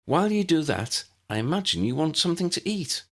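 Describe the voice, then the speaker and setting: A man's voice: fairly high pitch, very animated, a little fast, a bit bright, voice pushed up, heavily acted. A man voicing a fiery, affectionate young woman who frets and gives orders in an audiobook. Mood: firm. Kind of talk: audiobook character dialogue.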